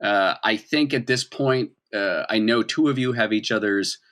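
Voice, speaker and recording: A man's voice: lowish voice, moderate pace, fairly bright tone. A man working as game master, improvising narration in a conversational, dramatic storytelling manner.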